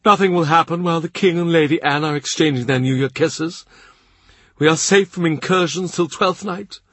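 A man's voice: on the high side, moderate pace, a bit bright, dry recording, voice pushed up. A man voicing a proud, ailing old cardinal who is amused and dismissive. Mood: wry.

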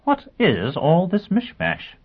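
A man voicing a brisk, skeptical, sharp-tongued lawyer in an audiobook, firing off clipped, incredulous questions. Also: fairly high pitch, very animated, creaky, breathy edge, voice pushed up, full character voice. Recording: narrow phone-like sound.

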